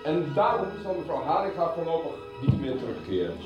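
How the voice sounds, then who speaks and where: An actor playing a formal, disapproving, middle-aged school head in a radio soap. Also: male voice, a little high, big pitch swings, fairly quick, recorded from a distance, music in the background. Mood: brisk, authoritative. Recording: echoey, dull room, off-mic.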